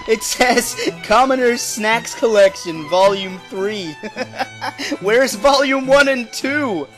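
A woman's voice: a little high, drawn-out words, fairly bright tone, soft background music. A woman voicing a teasing, mischievous teenage schoolboy with a mocking drawl, in a manga fan dub. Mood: amused, mocking.

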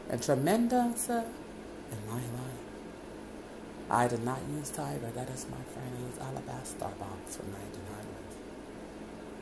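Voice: mid-range voice, vocal fry, noisy background. A speaker praying aloud in a long, wandering prayer, calling out "Lord God" again and again between loose phrases. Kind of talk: rambling spoken prayer.

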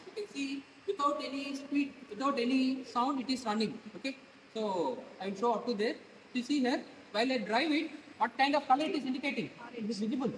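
A man's voice: very high voice, echoey room, background noise. A man in his thirties with an Indian English accent, demonstrating a scooter to a class and quizzing students with questions as he goes. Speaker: instructor. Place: large open workshop hall with students.

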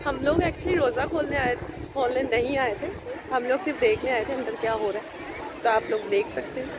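Chatty woman vlogging over loud noise. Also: high voice, brisk, a loud crowd. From Pakistan. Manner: chatty, complaining, playful. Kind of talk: casual vlog to camera. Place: outdoors and indoors, on the move. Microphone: phone held at arm's length.

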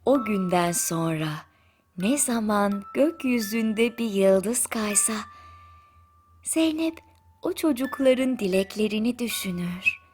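A woman narrating a bedtime story in a soothing, gentle manner with drawn-out pauses. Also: medium pitch, lively intonation. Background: music.

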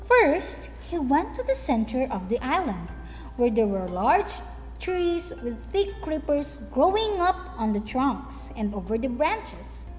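A woman teacher explaining a lesson and reading a story in a deliberate, instructive manner. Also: high voice, very animated. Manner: deliberate, instructive, with check-in questions. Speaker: English teacher. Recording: echoey hall-like room, faint music behind.

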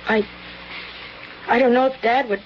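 An actress plays a lovelorn young woman in a vintage radio play. Her voice is high and distraught, halting as she pleads. The recording is muffled with faint noise. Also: fairly even intonation, natural voice. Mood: hurt, pleading. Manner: halting, pleading, breaking off sentences. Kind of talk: 1970s radio drama acting. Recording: dull, lightly hissy vintage radio recording.

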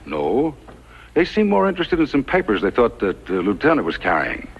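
An actor playing an earnest, courteous stagecoach passenger in a 1950s radio western, husky and formal. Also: male voice, medium pitch, huge pitch swings, moderate pace, exaggerated voice. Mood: earnest, uncertain. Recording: dull 1950s radio recording.

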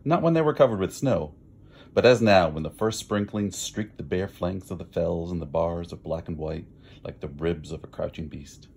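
A man's voice: sits low, normal speed, vocal fry, a little mellow, breathy edge. A man reads a picture book aloud in a theatrical way, drawing out words for emphasis. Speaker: storybook reader.

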